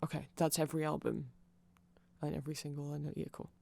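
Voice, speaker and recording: Creaky-voiced ASMR rambler, sex unclear. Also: medium pitch, level tone, fast talker, darkish tone. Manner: nerdy, self-deprecating, digressive. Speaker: ASMR creator explaining band lore. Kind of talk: casual rambling ASMR explainer.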